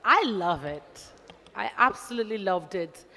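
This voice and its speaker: A woman's voice: mid-range voice, lively intonation, moderate pace, pushed and bright, some chatter behind. A woman judge, enthusiastic and encouraging in her praise. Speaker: talent show judge. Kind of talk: live critique. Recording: reverberant hall.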